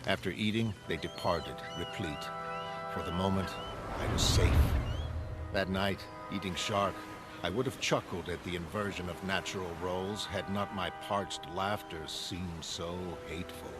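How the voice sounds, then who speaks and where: A man voicing a grim castaway sailor who narrates a macabre pirate tale in a solemn, brooding, deliberate way. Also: deep voice, natural voice. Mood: grim, anguished. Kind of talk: literary horror narration. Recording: loud music underneath.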